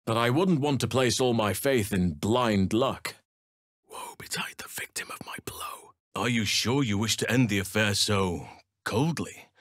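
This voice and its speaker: An actor playing a burly middle-aged male elf druid in a fantasy game, earnest and gentle, with measured, formal phrasing. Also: on the low side, no room sound, clean studio sound. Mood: hushed. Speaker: video game character actor.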